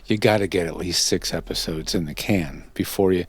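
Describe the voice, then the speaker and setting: A man's voice: low voice, brisk, husky, no room sound. A man hosting a podcast interview, chatting casually in a conversational, self-deprecating way. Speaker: podcast host interviewing a guest.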